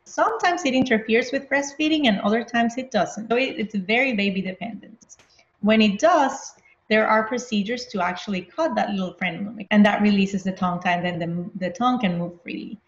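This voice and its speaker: A woman pediatrician from Venezuela, in her forties, calmly explaining baby care in an interview. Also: medium pitch, a little fast. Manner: calm, explanatory, plain speaking. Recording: roomy, slightly dull sound.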